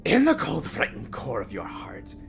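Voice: medium pitch, wildly swooping pitch, normal speed, gravelly, slight background noise, heavily acted. An actor playing a pompous, menacing omniscient narrator in an audio comedy drama, portentous and melodramatic, and easily flustered. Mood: grandiose menace. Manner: portentous, melodramatic, dragging out grim pronouncements. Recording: music behind the voice.

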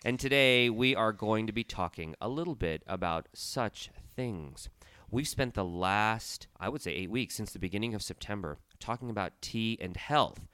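A man's voice: fairly bright tone, clear, resonant tone, no echo. A middle-aged man hosting a studio talk show, talking in a chatty, storytelling way while he recovers from a cold with a stuffy nose. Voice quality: deep, resonant. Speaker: podcast host. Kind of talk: casual chat.

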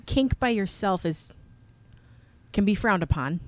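A woman's voice: medium pitch, animated, unhurried, dry recording. A woman guest in a casual podcast chat, easygoing and chuckling as she talks.

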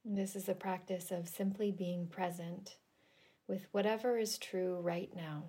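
A woman leading a guided relaxation meditation in a gentle, soothing, deliberate manner with long pauses. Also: on the low side, steady tone, husky. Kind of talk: guided yoga nidra meditation.

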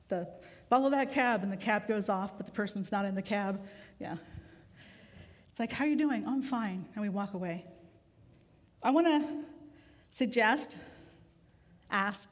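A woman pastor giving a sermon in a relaxed, conversational way with gentle humor, weary from grief. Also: mid-range voice, measured pace, long pauses. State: grieving, tired. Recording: long hall reverb.

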